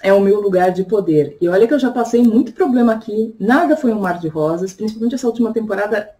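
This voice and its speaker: A woman's voice: medium pitch, lively intonation, fast talker, warm tone. Chatty Brazilian-born woman vlogging. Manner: chatty, reflective, frequent tag questions. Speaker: vlogger and tarot reader. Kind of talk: casual personal vlog monologue.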